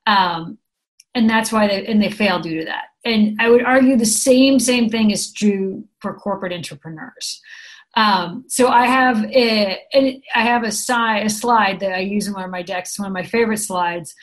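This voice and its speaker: A middle-aged woman with an American accent chats through an interview, upbeat and explanatory. Her voice sits at an everyday middle pitch, unhurried and friendly in tone. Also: poor audio. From San Francisco, USA. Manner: chatty, upbeat, explains with examples. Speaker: guest, program director. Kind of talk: conversational interview answers.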